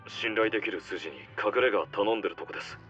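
An actor playing an anxious, loyal younger yakuza underling speaking earnestly over a telephone in a game cutscene. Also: male voice, lowish voice, a little fast, fairly bright tone. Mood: anxious, urgent. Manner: earnest, deferential, urgent. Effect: telephone filter. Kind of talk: Japanese game cutscene acting. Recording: muffled phone line, faint music.

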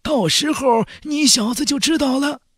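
A man voicing an old Taoist sword spirit, a mentor who speaks in a strained, slow, admonishing way in a xianxia audiobook. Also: very high voice, fairly bright tone, dry recording, clean studio sound, pitched above the performer's own voice. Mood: cryptic, weary. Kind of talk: audiobook character voice.

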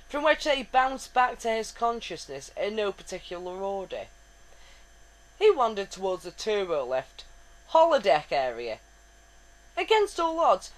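A woman's voice: mid-range voice, rough recording. A woman giving a wry, dramatic reading of fan fiction. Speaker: reader.

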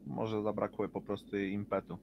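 A man's voice: fairly low pitch, level tone, moderate pace, creaky, lo-fi sound. A man speaking casually as a player in a tabletop role-playing game. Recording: noticeable background noise.

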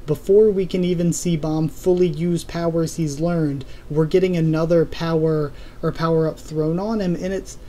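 A young man in his twenties reviewing a webcomic to his webcam from a small room, hoarse from losing his voice and rambling with many ums and self-corrections. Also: fairly high pitch, steady tone, moderate pace, few pauses, mellow. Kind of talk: casual review monologue to camera. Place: small room indoors, plain wall.

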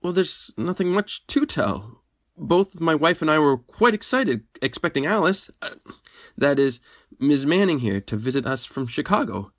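Guy doing anxious radio mystery husband, kinda high husky voice, halting, talky. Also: sing-song, no room sound, clean studio sound. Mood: nervous, defensive. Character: anxious, chatty husband under suspicion in a murder. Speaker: actor. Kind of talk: old-time radio mystery acting.

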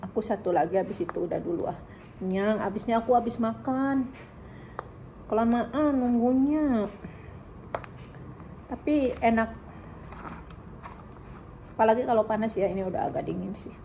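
A woman in her thirties from Indonesia, chatting casually through a food review and humming while she eats, filmed in a bright living room by a camera on the table. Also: medium pitch, slight background noise, poor audio. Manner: chatty, savoring, hums while eating. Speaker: food vlogger. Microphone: camera on table in front.

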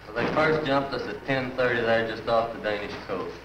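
An airman reports in a military debriefing at a middling pitch. His voice echoes in the room, with clear noise on the old film sound. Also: moderate pace, recorded from a distance. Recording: roomy, dull, noisy 1940s film sound.

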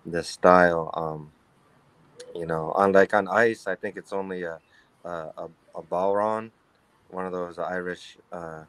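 A baritone man being interviewed as a guest musician over a video call, talking in a halting, rambling way with many restarts. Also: fairly even intonation, fairly bright tone, smooth, no room sound, poor audio. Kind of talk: casual interview chat. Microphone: webcam on a video call.

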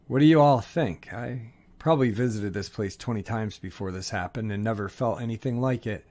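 A man with a fairly low voice narrates other people's strange encounters in the woods. He reads them as first-person accounts, keeping a steady, measured storytelling tone throughout. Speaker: narrator reading listener encounter stories.

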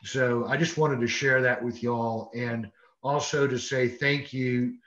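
A man's voice: medium pitch, steady tone, measured pace, fairly bright tone. An older man moderating a panel on a video call, friendly and folksy. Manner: friendly, folksy, uses y'all. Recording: dull lo-fi video call audio.

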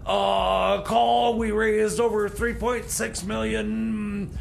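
A man's voice: high voice, level tone, slow, creaky, a little hiss or hum, full character voice. A man playing a bumbling, nervous substitute DJ in comedy radio theater, stammering and repeating his words indignantly. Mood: flustered.

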